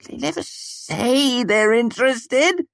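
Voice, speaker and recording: Man doing an excitable, high-voiced young student. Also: slow, creaky, no room sound, studio quality, heavily acted. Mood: earnest. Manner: animated, exclaiming. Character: excitable, amused, lovelorn young male student friend.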